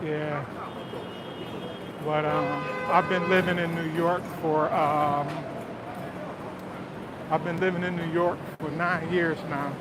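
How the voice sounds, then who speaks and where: A man's voice: fairly high pitch, takes it slowly, long pauses. A man with a Southern US accent, chatting casually with viewers on a phone livestream while he walks outdoors. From Detroit, Michigan. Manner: relaxed, chatty, answers viewer comments, often says "man". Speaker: livestream host. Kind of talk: casual live-stream chat while walking. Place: outdoors on busy city streets. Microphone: phone held while walking. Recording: street noise. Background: city traffic and crowd sounds.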